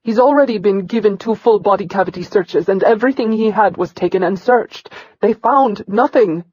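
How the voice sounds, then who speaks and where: A woman's voice: mid-range voice, moderate pace, darkish tone, husky, dry recording, lo-fi sound, pitched above the performer's own voice, lightly acted. A woman voicing the commander's sympathetic wife, speaking in a raised, earnest tone. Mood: pleading.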